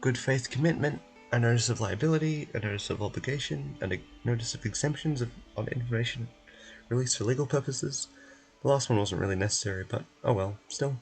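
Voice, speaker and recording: A man's voice: mid-range voice, brisk, vocal fry, close to an everyday voice, low-quality recording. A man voicing a fussy, wordy, coaxing male bureaucrat in a video game. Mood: approving, fussy. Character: fussy male bureaucratic clerk, pleased and pushy, nursing hurt leg. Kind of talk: video game character voice-over. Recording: music behind.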